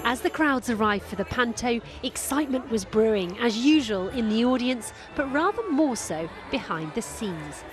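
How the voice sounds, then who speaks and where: British woman narrating over music, fairly high voice. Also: lively intonation, moderate pace, hardly any pauses. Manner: wry, self-mocking. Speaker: narrator and presenter. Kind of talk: light-hearted scripted voice-over narration.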